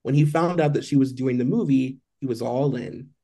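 Male podcast co-host, warm low-key timbre, rambling casual gossip delivery. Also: fairly quick, breathy edge. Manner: loose and chatty, heavy on "like". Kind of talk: casual celebrity gossip chat.

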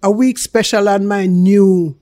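A woman's voice: mid-range voice, fairly even intonation, measured pace, dark tone, no room sound, studio quality. An older woman from Jamaica with a Jamaican accent, chatting casually as an interview guest. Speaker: interview guest, small food business owner.

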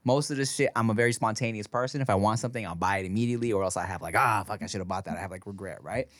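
A man's voice: fairly low pitch, very fast, a bit bright, dry recording, clean studio sound. A man co-hosting a podcast, telling stories in casual chat, swearing freely and laughing often. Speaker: podcast co-host. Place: podcast studio. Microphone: studio microphone.

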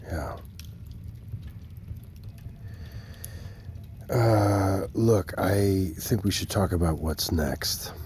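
An actor playing a concerned, probing male adviser in a naturalistic audio drama, halting, careful and understated. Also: low voice, darkish tone, slight background noise. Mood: sombre, careful.

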